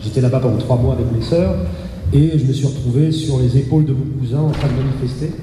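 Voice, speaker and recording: Man in his fifties, dark voice. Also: medium pitch, fast talker. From France. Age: 50s. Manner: hesitant, repeats words. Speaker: comedian, panelist. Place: large hall at a round table event. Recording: echoey hall reverb, faint noise.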